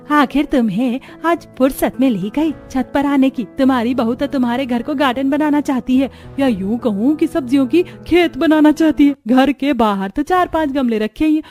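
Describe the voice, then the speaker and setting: A woman's voice: high-pitched, expressive, moderate pace, hardly any pauses, a little mellow, faint music behind, voice pushed up. A woman voicing a nosy, gossipy neighbourhood aunty in a cartoon. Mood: needling.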